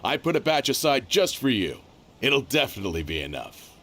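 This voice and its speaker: A man's voice: mid-range voice, very animated, a little fast, sharp tone, full character voice. A man voicing a genial, easygoing middle-aged merchant and worried father in a video game. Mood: amused, friendly. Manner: easygoing, familiar, self-deprecating. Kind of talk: English video game voice acting.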